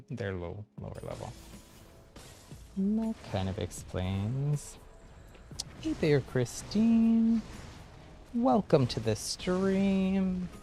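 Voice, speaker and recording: A man's voice: high-pitched, big pitch swings, extremely slow, creaky, long pauses, dark tone. A man livestreaming a video game with casual commentary, chatting to viewers in mild exclamations. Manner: mild exclamations, laughs often. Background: faint game music.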